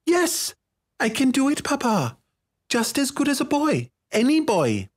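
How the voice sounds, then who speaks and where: Man voicing a high-pitched, feisty little girl. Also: huge pitch swings, a little slow, slightly rough, exaggerated voice. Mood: earnest, defiant. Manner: snappy, terse, firm. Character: small, stubborn, feisty young girl with a dragon.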